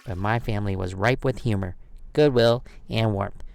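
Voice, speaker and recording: A man reading a children's picture book aloud as storyteller, expressive and given to dramatic pauses. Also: lowish voice, creaky, a little hiss or hum.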